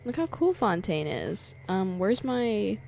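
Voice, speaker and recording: A woman's voice: mid-range voice, very animated, takes it slowly, vocal fry, darkish tone, a little hiss or hum. A young woman giving casual, playful live gameplay commentary as a streamer, reacting in exclamations. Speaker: game streamer. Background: game music.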